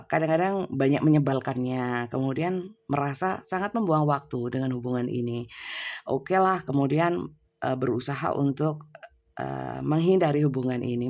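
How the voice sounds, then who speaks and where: A woman's voice: lowish voice, creaky, darkish tone. A woman with a cold giving a casual tarot reading into her phone's built-in microphone. She talks loosely, with lots of fillers. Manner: chatty, many fillers and tag "ya". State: has a cold. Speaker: tarot reader. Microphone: phone's built-in mic, no external mic.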